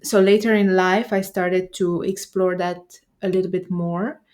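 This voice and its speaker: A woman's voice: on the low side, level tone, a little slow, darkish tone. A woman from Romania with a Romanian accent, a nervous system coach speaking as a podcast guest in a reflective, hesitant way.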